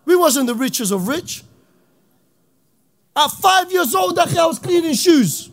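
A man from Iraq, giving an impassioned, slang-heavy talk to young people as an Islamic speaker and often shouting. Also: extremely high pitch, animated, measured pace. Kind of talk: impassioned motivational talk to youth.

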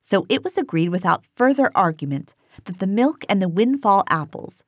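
Expressive female audiobook narrator. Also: mid-range voice, moderate pace, no room sound, studio quality. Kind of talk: scripted literary narration.

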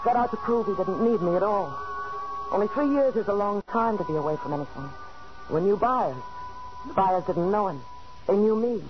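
A woman's voice: mid-range voice, animated, a little fast, lightly acted. A woman playing a bitter, stifled wife in a 1940s radio drama, speaking in a tense, confiding, dramatic way. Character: bitter, stifled wife telling of her failing marriage. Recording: dull 1940s radio recording with music.

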